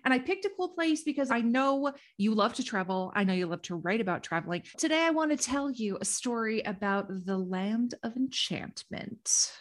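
A woman's voice: a little high, animated, moderate pace, hardly any pauses. A woman hosting a podcast, telling a history story in an enthusiastic, gushing, conversational way, with lots of affirming murmurs.

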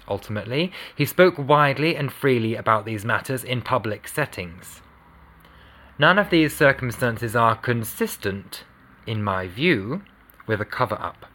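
Bright-voiced man reading formally. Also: medium pitch. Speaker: reader of a court judgment. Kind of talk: formal reading of a legal judgment.

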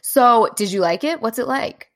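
A woman's voice: on the high side, expressive, normal speed. A woman hosting a casual podcast, asking curious, enthusiastic questions. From United States. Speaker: podcast host.

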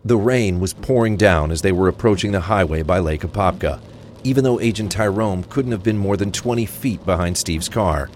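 Male narrator, very low voice, scripted crime thriller, faint rain and vehicle ambience. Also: moderate pace, a little mellow, slight background noise. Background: rain, vehicle sounds.

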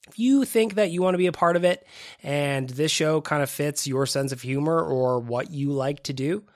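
A man's voice: on the high side, moderate pace, dry recording. A man hosting a podcast in his home studio, chatting casually and a little self-deprecating, with a stuffy, congested voice from a cold. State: congested, sniffly. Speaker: podcast host. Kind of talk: casual solo podcast chat. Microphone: studio microphone.

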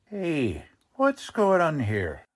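A man's voice: a little high, wildly swooping pitch, very slow, full character voice. A man playing a blunt, sarcastic police lieutenant in the style of a 1940s radio drama. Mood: blunt, suspicious. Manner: blunt, mocking, slangy.

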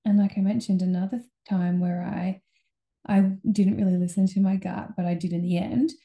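A woman's voice: mid-range voice, little pitch movement, normal speed, gravelly, mellow. A young woman hosts her own podcast, talking casually and candidly with frequent ums and restarts. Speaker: podcast host. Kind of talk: casual solo podcast talk.

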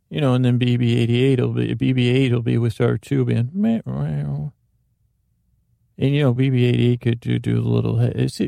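A man's voice: mid-range voice, vocal fry, mellow, dry recording, clean studio sound. A man hosting a sleep podcast, rambling off on tangents in a meandering, self-deprecating way with lots of restarts. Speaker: podcast host.

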